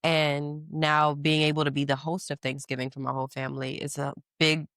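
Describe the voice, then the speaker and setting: A woman in her thirties speaking candidly as a podcast guest, animated and quick to laugh, with casual slang. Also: low-pitched, no echo, studio quality. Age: 30s. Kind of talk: casual interview chat.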